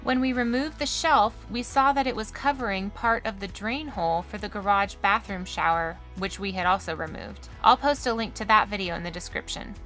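A woman narrates a home project in a scripted voice-over with a bright, clear tone. She explains each step plainly and matter-of-factly, sitting close to the microphone. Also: medium pitch, moderate pace, few pauses, faint music behind. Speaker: RV renovation vlogger.

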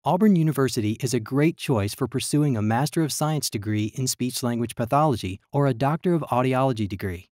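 A man's voice: medium pitch, no echo. Man narrating a campus tour, dry. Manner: even, measured reading. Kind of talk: scripted virtual tour narration.

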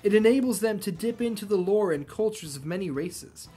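A man voicing a calm, gracious temple priest, speaking softly but firmly in a measured, courteous way. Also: high-pitched, husky, voice pushed up.

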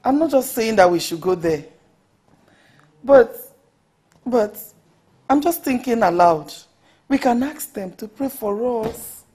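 A woman playing a weary, worried middle-aged Nigerian mother in a film melodrama, plaintive and quick to defend herself. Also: on the high side, animated, a little mellow, breathy edge, exaggerated voice. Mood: weary, pleading. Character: weary, worried mother who answers back.

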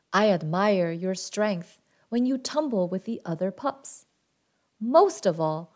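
A woman voicing a proud, proper father wolf in a children's storybook, speaking in an earnest, formal and gently instructive way. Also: medium pitch.

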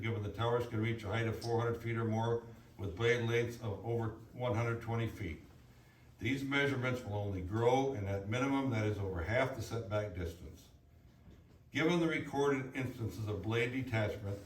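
A man reading prepared written statements aloud at a public meeting. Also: mid-range voice. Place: indoor public hearing room. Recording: echoey meeting room, distant mic, faint noise.

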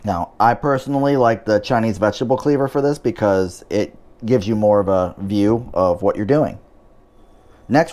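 A man's voice: fairly low pitch, darkish tone. A man hosting a home cooking show, talking through each step in a casual way with dry, wry asides.

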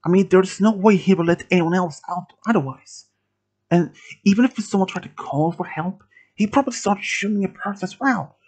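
A man's voice: sits high, normal speed, a little mellow, pitched above the performer's own voice. A man voicing a caring, desperate young man who pleads urgently to protect a wounded friend in a story.

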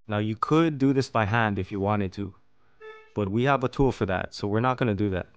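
A man narrating a fish-cutting and plating tutorial as host, explaining each step calmly. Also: on the low side, brisk, clean tone, dry recording, soft background music. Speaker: fishing and cooking channel host. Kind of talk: calm instructional voice-over.

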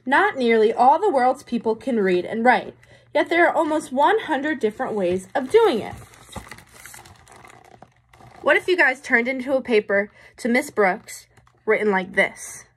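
A woman's voice: high-pitched, lively intonation, a little slow, husky. A young adult woman reading a picture book to children as a teacher would, with explanatory asides and questions to her listeners. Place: small room indoors.

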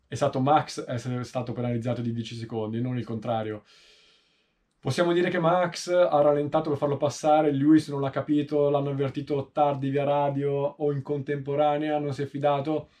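Measured Italian man explaining and analysing. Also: on the high side, mellow. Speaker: motorsport video host. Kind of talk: informal race analysis commentary. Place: small indoor room, seated in a sim racing seat.

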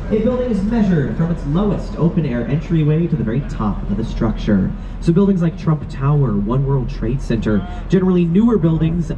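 A man with a slightly high voice delivers a joke-filled tour commentary with wry, deadpan timing. He is heard outdoors through a portable speaker, and the sound comes out dull and lo-fi. Loud city and boat noise runs underneath. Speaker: tour guide. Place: outdoors on an open river boat among tall buildings.

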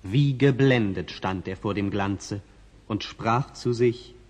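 A man's voice: lowish voice, takes it slowly, darkish tone. A man narrating a fairy tale in the scripted storytelling style of a 1960s audio play. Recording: long reverb on old record.

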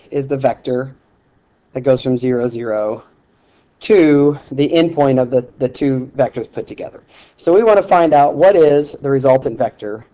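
Man, math teacher, voice-over lesson, relaxed, ums and restarts, warm tone. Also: mid-range voice, highs cut off. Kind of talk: screencast lesson with handwriting.

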